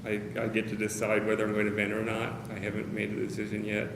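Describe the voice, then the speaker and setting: A man speaking candidly to a meeting of caregivers, hesitant, with many pauses and fillers. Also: on the low side, monotone. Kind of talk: personal talk to a small group. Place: meeting room with a group of about 45 people. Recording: roomy, noisy meeting-room recording.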